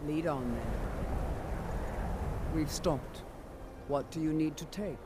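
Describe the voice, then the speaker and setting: An actor voicing an obedient, stoic fantasy follower who speaks in terse, deferential phrases. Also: male voice, fairly high pitch, steady tone, slow pace. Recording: game audio with clear ambient noise.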